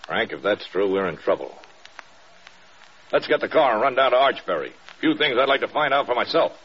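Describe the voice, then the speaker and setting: An actor playing a stern, probing American air force general in a radio drama, measured and authoritative. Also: male voice, mid-range voice, lively intonation, background noise, natural voice. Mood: troubled, reflective. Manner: measured, authoritative, pointed questioning. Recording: dull lo-fi old radio recording.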